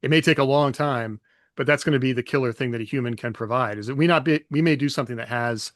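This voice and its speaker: A man with a medium-pitched voice musing aloud on a casual podcast, in a loose, conversational way. The sound is dry and close, with no room echo. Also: quick pace. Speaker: podcast co-host. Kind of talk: casual panel chat.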